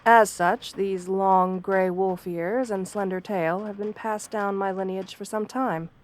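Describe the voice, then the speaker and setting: A woman voicing a sweet, professional wolf-girl therapist in a soft-spoken ASMR roleplay, speaking in a gentle, measured, reassuring way. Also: mid-range voice, fairly even intonation, lightly acted. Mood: polite, welcoming.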